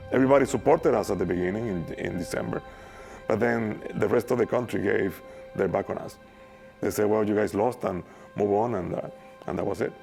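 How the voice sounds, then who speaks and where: Gravelly Venezuelan-accented man in his 50s. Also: lowish voice. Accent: Venezuelan Spanish. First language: Spanish. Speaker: former oil worker, interviewee. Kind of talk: documentary interview. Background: soft guitar music.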